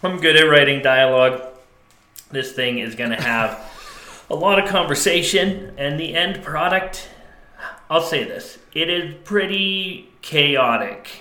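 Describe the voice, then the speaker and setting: Podcast co-host, male, bright voice, joking deadpan delivery, echoey room. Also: fairly high pitch, a little slow. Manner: joking, laughing, deadpan bits. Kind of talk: casual comedic podcast chat.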